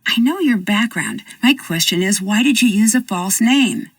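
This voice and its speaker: An actress voicing a confident young American amateur detective who asks direct, probing questions with dry wit. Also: female voice, mid-range voice, expressive, normal speed, slightly rough, dry recording, far from the mic. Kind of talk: video game voice acting.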